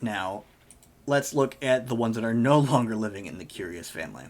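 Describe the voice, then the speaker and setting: Hoarse man rambling through gameplay. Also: on the low side, animated, a little fast. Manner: rambling, many fillers and self-corrections. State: losing his voice. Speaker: gaming YouTuber. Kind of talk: casual game commentary.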